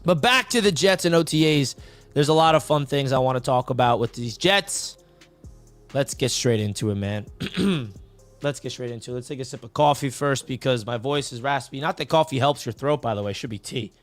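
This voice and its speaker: Hoarse, hyped man hosting a sports stream. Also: medium pitch, lively intonation, brisk, no echo. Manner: hyped, casual, repeats "man". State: raspy voice from yelling. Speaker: sports podcast host. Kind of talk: live sports talk stream. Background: soft background music.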